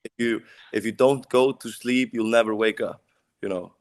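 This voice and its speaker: A man's voice: on the low side, fairly even intonation, normal speed. A young man with an Icelandic accent, a band singer answering interview questions in casual English that is not his first language. He searches for words and leans on "like" as he talks. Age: young adult.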